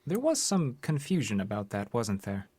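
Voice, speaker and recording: An actor playing a patient but persistent male interrogator in an audio drama, questioning in a formal, measured way. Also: on the low side, huge pitch swings, a little mellow, breathy edge, no echo, exaggerated voice. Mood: suspicious, pressing.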